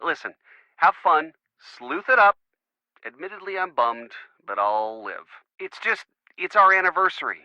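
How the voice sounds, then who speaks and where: An easygoing young American boyfriend in game phone dialogue. His voice is very bright and forward, with playful sarcasm. The sound is close and dry, though dulled like a lo-fi call. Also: on the high side, very animated, unhurried, no room sound, heavily acted. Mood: wistful, hurt. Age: young adult.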